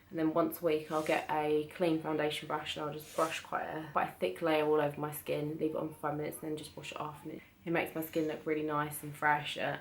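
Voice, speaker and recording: A British woman with a croaky voice from being ill, chatting casually through a beauty haul with lots of hesitant restarts. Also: low voice, slight background noise. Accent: British English. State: croaky from illness. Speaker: beauty vlogger. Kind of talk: casual product haul chat.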